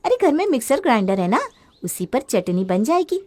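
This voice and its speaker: Woman playing a high-voiced, opinionated young daughter-in-law. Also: very animated, a little fast, warm tone. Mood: dismissive. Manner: chatty, complaining, argumentative. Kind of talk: acted Hindi family moral story.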